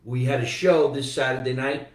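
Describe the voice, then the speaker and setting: A man hosts a comedy podcast in a relaxed chatty way and wanders off into joking tangents. His voice sits at an ordinary pitch. The room echoes, and he is heard a little away from the mic. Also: moderate pace, far from the mic. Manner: rambling, joking asides. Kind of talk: casual comedic chat. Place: room in Florida.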